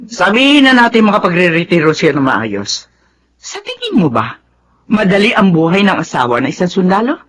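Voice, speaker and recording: A woman's voice: on the low side, big pitch swings, normal speed, slight background noise. An actress playing a stern, protective widowed mother in a Filipino radio drama, firm and admonishing. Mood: grave, pleading.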